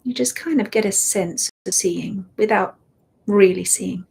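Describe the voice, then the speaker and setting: A woman guides a meditation in a husky, breathy voice with a gravelly edge. She speaks very slowly and gently, her words often fading into soft whispers. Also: mid-range voice. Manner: slow, soft and soothing, drifting into whispers.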